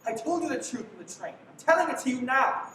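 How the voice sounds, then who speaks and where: A woman's voice: animated, quick pace, a bit bright. Echoey, sardonic woman acting a man on the run. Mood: exasperated, earnest. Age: teens. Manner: bossy, sardonic, theatrical. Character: brash sardonic man on the run, wrongly accused. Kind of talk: live stage radio play acting. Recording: echoey hall from far off, faint rumble.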